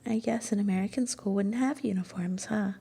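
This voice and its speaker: A woman playing a shy, sweet young student girlfriend in an ASMR roleplay, speaking softly and breathily with gentle hesitation, often slipping into a whisper. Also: medium pitch, brisk, vocal fry, breathy edge. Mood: bashful, musing.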